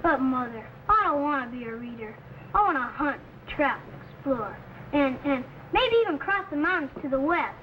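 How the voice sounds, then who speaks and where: A woman's voice: sits high, animated, normal speed, dull audio. A woman playing an eager, earnest young boy who dreams of exploring, in an old-time radio adventure. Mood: eager, protesting. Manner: earnest, protesting, boyish. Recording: slightly noisy old radio recording.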